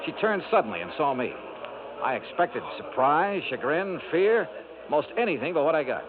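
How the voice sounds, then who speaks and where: A man's voice: a little high, sing-song, moderate pace, crowd noise. A man with an American accent playing a dogged, wry insurance investigator in a radio noir drama, with clipped, dry delivery. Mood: wry, brooding. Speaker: lead detective and narrator. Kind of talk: 1950s radio noir acting. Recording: tinny old radio broadcast, music behind.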